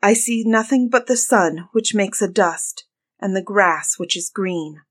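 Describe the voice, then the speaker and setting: A woman voicing an anxious, watchful sister in a fairy tale, reporting in a measured, plaintive manner. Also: mid-range voice, close to an everyday voice. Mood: watchful, regretful. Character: anxious sister Anne, watchful lookout on tower. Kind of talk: dramatized fairy-tale storybook reading.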